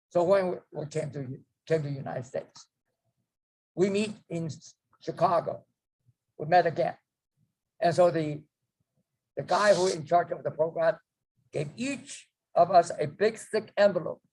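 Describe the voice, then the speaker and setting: A man, telling his life story to a group, rambling and halting with many fillers and restarts. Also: on the high side, a little mellow, low-quality recording. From China. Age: 90s. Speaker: guest speaker. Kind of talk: storytelling memoir.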